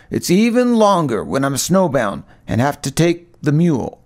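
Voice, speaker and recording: An eccentric mountain lab director, acted out by a man, speaks in a high-pitched voice with a backwoods twang. He is folksy yet earnest about his science, like a storybook woodsman. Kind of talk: audiobook character reading.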